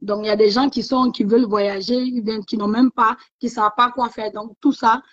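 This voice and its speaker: A woman's voice: a little high, fairly even intonation, fairly quick, no echo. A woman hosting an informal livestream interview. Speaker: host, interviewer. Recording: dull, lo-fi, dry online audio.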